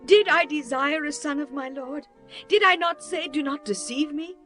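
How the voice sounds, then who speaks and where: A woman's voice: high-pitched, normal speed, fairly bright tone, soft background music. An actress playing an earnest, devout woman of the Bible in a dramatized scripture audiobook, speaking in a solemn, formal way. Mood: distressed. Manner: solemn, formal archaic delivery. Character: pleading, earnest biblical woman, devout widow or mother.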